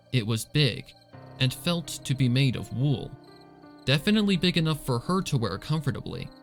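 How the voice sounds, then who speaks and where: A man reading a suspenseful story as narrator, in a scripted dramatic storytelling style. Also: medium pitch. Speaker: fan fiction reader and narrator.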